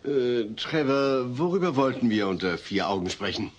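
An earnest, harried family father, acted by a man speaks politely and a little hesitantly in a sitcom audio drama. His pitch is very lively, over faint noise. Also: normal speed, muffled recording, natural voice.

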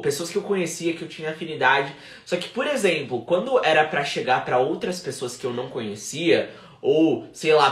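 A man's voice: a little high, echoey room. A young Brazilian man with a Brazilian Portuguese accent, teaching English on a livestream with energy and slipping English phrases in. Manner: energetic, mixes in English phrases, gestures a lot. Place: small room at home, indoors.

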